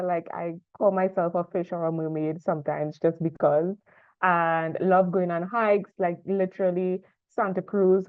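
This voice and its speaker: A young woman from Trinidad with a Trinidadian accent, giving a lively, chatty presentation full of asides. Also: lowish voice. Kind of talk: conference talk. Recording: tinny phone-like sound.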